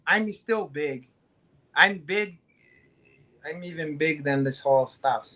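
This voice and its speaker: A man's voice: on the high side, slow, sharp tone. Brazilian-accented male co-host, brash and profane, comic bravado. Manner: boastful, swearing, joking.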